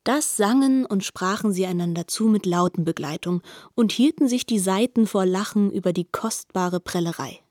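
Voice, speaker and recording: An actress plays a shrewd woman narrator in a radio literary drama. Her medium-pitched voice is recorded dry, and she delivers with pointed, deliberate phrasing. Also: a little mellow, no echo, close to an everyday voice.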